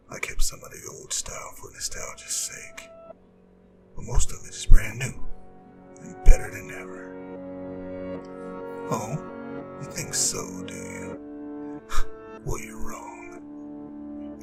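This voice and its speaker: A scheming, immortal Demon King acted by a man holds forth in a breathy, very creaky half-whisper. His pitch swings dramatically as he taunts and persuades with smug, silky menace. It is a scripted ASMR audio drama, with prominent music running behind the voice. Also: full character voice. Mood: smug, grandiose, then taunting. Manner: smug, taunting, deliberate, persuasive villain speech.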